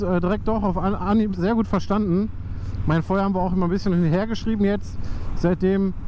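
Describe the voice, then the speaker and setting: A man's voice: high-pitched, dark tone. A man chatting while riding a motorcycle, rambling with many fillers, recorded on a helmet camera mic. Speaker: motovlogger. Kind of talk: casual chat while riding. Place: riding a motorcycle outdoors on town roads. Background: faint engine and road noise.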